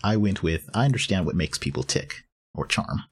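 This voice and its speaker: A man's voice: deep voice, animated, normal speed. A man playing a tabletop game, explaining his character's choices hesitantly and searching for words.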